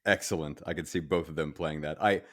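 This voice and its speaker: Older man, very low pitch, casual chat, cast interview. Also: steady tone, fairly quick, a bit bright.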